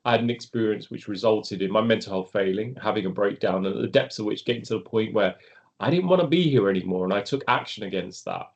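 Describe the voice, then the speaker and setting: A man hosting a live online webinar, speaking in an earnest, measured way with lively rises in pitch. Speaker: webinar host, organization founder.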